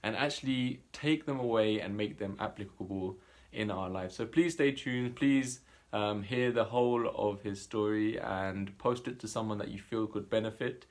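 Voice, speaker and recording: Male host, lowish voice, informal and a little halting, casually introducing to viewers. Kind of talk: casual spoken introduction to camera.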